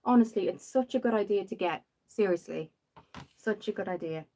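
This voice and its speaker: A young woman from Dublin with a softened Irish accent, rambling casually while hosting a makeup livestream and answering viewers. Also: mid-range voice, moderate pace, poor audio. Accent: Dublin Irish, softened after years in the UK. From Ireland. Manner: chatty and rambling, reads out viewer comments.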